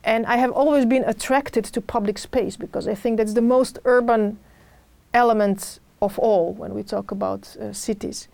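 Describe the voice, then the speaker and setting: Higher-pitched woman with a Serbian accent. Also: steady tone, normal speed, vocal fry, a little mellow, no room sound. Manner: hesitant, searching for words, repeats phrases. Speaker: podcast guest, urban designer. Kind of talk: interview answers about own career.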